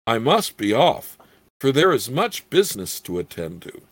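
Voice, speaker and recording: A man voicing a small old gnome proprietor from a fantasy storybook, squeaky, eager and easily indignant, with fussy, formal sales patter. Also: sing-song, normal speed, dry recording. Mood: hurried. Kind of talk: fantasy storybook character reading.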